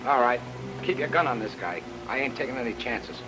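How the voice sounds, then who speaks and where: A man's voice: mid-range voice, expressive, brisk, lightly acted, poor audio. An actor playing a suspicious, streetwise robber in an old-time radio crime drama, talking in clipped tough-guy slang. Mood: wary, commanding. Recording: old radio recording with music.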